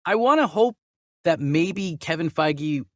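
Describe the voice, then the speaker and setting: A man's voice: fairly high pitch, expressive, a little slow, a bit bright, dry recording, studio quality. A man hosting a discussion show, giving opinions casually and emphatically, often repeating phrases as he builds his point. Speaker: host of a movie news show.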